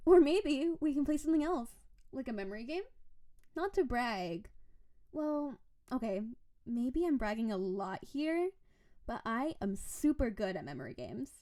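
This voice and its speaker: A woman's voice: a little high, very animated, unhurried, mellow, dry recording, studio quality. A woman playing a playful, possessive snow-spirit wife in an audio roleplay, coaxing and doting in a theatrical way. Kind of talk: scripted audio roleplay addressed to the listener.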